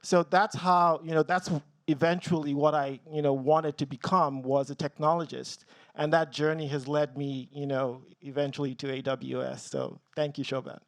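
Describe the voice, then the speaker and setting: A man in his forties from Nigeria, telling his career story on a panel, earnest, with many pauses and ums, getting a little emotional. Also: on the high side, flat delivery, creaky, no room sound. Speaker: tech team leader on a panel.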